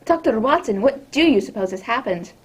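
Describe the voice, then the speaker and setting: A woman playing a motherly, gently scolding housekeeper in a radio drama. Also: fairly high pitch, expressive, fairly quick. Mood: concerned. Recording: clear background noise.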